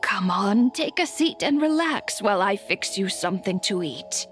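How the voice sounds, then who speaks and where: A woman's voice: medium pitch, lively intonation, natural voice. A woman playing a kindly, forgetful old grandmother in a video game, hesitant and doting, with a wavering, aged voice. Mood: fussing, cheerful. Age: older. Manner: slow, hesitant, fussing and doting. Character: forgetful, kindly old granny with failing memory. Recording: background music under the dialogue.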